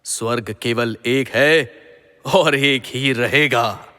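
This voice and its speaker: A man's voice: mid-range voice, takes it slowly, sharp tone. A man playing an arrogant, jealous king of the gods in a mythological audio drama, pompous and scornful. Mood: menacing, defiant. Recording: long hall reverb.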